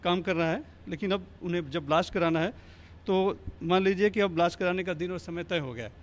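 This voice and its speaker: A man's voice: high-pitched, fairly quick. A man with an Indian accent interviewing on location, recapping points and asking questions.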